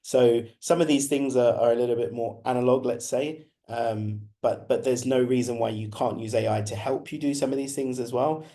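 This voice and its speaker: A man in his thirties with a husky voice, slightly hoarse from being unwell, giving a conversational online presentation with frequent restarts. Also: medium pitch, moderate pace, few pauses, mellow. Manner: conversational, many restarts and repetitions. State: recovering from illness, slightly hoarse. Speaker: guest speaker presenting a webinar.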